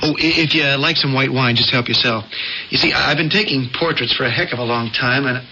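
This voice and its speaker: A man's voice: mid-range voice, quick pace, sharp tone, a little hiss or hum. An actor playing an earnest, flustered young photographer in a radio drama, stammering and hesitant as he talks. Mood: eager, flustered. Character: earnest young newspaper photographer, loyal friend, flustered suitor. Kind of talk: 1970s radio drama acting. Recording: dull old radio broadcast, music behind.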